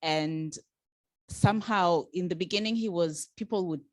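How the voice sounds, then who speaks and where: Low-voiced woman from Kenya. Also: moderate pace, dry recording. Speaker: audience member commenting. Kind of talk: spontaneous audience comment.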